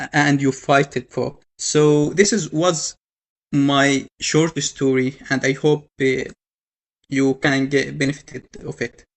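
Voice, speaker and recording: A young man from Yemen telling his own story to an audience, halting, with many fillers and restarts. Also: mid-range voice, gravelly. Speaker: panel speaker. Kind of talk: personal story told to an audience.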